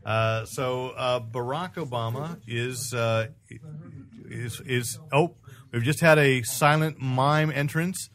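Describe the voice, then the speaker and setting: A man's voice: medium pitch, takes it slowly, fairly bright tone. A man hosting a casual news chat among friends. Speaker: podcast host.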